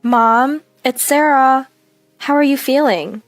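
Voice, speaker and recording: A woman voicing a polite, peacemaking housewife in a story, gentle and conciliatory. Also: sits high, takes it slowly, no room sound, faint music behind, pitched above the performer's own voice. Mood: warm, polite. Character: polite, peacemaking older sister-in-law, a housewife.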